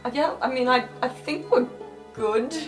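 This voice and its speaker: A woman's voice: high-pitched, normal speed, faint music behind, natural voice. A woman playing a hesitant, literal-minded young magic student in a tabletop game, speaking plainly. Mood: sheepish.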